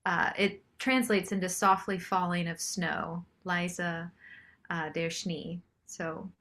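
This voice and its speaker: A woman's voice: fairly low pitch, steady tone, slow pace, fairly bright tone. A woman chatting casually as a singer-songwriter guest in an interview.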